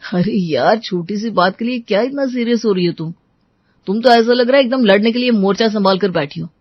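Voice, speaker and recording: A woman's voice: medium pitch, a little fast, no echo, highs cut off, close to an everyday voice. A woman voicing a complaining, dismissive husband with a protesting, whining tone in a family story. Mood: annoyed, dismissive. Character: complaining, dismissive husband, adult man home from office.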